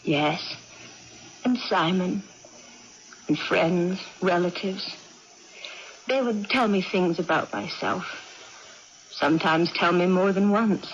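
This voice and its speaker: A woman acting in a 1980s radio drama as a practical, contented aging aunt who muses deliberately and matter-of-factly, with pauses. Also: lowish voice. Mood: musing, resigned. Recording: dull, noisy old radio broadcast.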